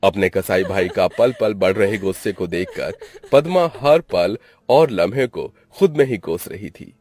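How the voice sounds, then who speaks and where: A man's voice: low voice, lively intonation, a little slow, a little mellow, soft background music. A man narrating a scripted story in a dramatic style.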